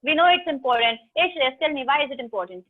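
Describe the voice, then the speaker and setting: A high-pitched woman with an Indian English accent teaches English online, chatty and teasing, calling on her students by name. Her voice comes through thin, phone-like video call audio. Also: lively intonation, fast talker. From South India. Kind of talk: online class lecture.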